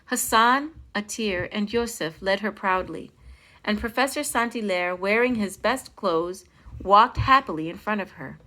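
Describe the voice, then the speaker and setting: A woman reading a picture book aloud as a storytime host, in an expressive, dramatic style with stressed phrases. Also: mid-range voice, measured pace, poor audio. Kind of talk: expressive children's read-aloud.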